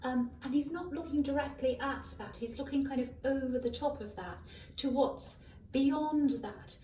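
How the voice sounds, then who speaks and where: A hoarse woman giving a casual tarot reading, musing aloud with many ums and self-corrections, recorded on a faulty microphone. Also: high-pitched, moderate pace, darkish tone, a little hiss or hum. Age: adult. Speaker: tarot reader. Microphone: malfunctioning microphone at a distance. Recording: echoey room, far from a faulty mic. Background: faint passing cars.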